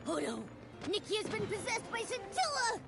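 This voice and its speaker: Squeaky small animal companion, video game, very high, animated, indignant, over loud music. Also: female voice, normal speed, gravelly, full character voice. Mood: alarmed.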